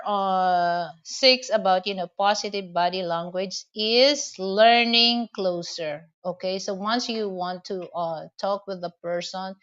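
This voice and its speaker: Woman, Filipino accent, unhurried rambling chat, dull lo-fi sound. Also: medium pitch, clean tone. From Philippines. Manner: rambling, heavy with "you know" and "okay?" fillers. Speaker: vlogger. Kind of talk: casual advice and storytelling to camera.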